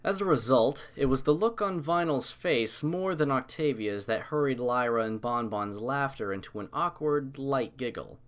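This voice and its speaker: A man's voice: mid-range voice, animated, normal speed, few pauses, fairly bright tone. A man reading a scripted story as its narrator.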